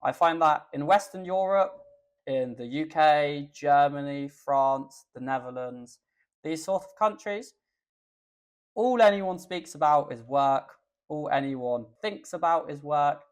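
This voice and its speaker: A young Englishman with an English accent, a guest chatting in a podcast interview, relaxed and thoughtful with the odd um. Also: fairly high pitch, unhurried. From England. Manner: relaxed, thoughtful, with filler ums. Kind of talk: casual interview chat.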